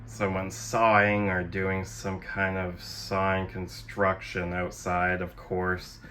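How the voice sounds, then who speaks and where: This is a young man with a low-pitched voice who takes his time as he talks. He hosts casually, rambling through a show-and-tell in a sardonic, self-deprecating way and swearing offhandedly. Some light background noise sits behind his voice. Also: monotone, slow pace, bright, forceful tone. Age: young adult. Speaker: host of a physical media collecting channel.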